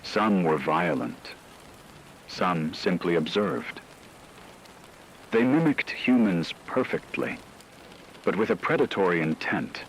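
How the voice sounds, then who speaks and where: A man with a low-pitched voice narrates a scripted apocalyptic horror chronicle. His delivery is grave and measured, like a storyteller on an emergency broadcast. Rain-like static runs faintly behind him. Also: poor audio.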